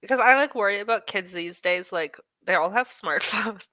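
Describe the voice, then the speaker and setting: A woman's voice: medium pitch, normal speed, bright, forceful tone, dry recording. A woman in her early thirties chatting casually as she hosts a book club discussion. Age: early 30s. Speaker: book club host moderating a chat. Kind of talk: casual chat.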